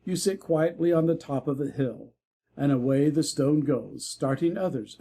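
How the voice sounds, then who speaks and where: A man playing a frank, worldly young Victorian gentleman in a dramatic audiobook, speaking in measured, formal phrases. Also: a little high.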